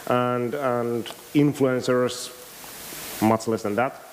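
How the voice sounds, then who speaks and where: A man's voice: mid-range voice, fairly even intonation, takes it slowly. A man, a game company head interviewing a guest on a panel, asking long questions full of fillers. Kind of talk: panel conversation. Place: event stage. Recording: hissy hall with long reverb.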